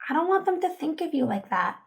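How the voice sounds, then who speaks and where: A woman's voice: high-pitched, very animated, fast talker. An actress playing a sheltered but defiant, tender teenage girl in an audio drama, with an earnest, hesitant manner. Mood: determined. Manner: hesitant, earnest, stammering when flustered.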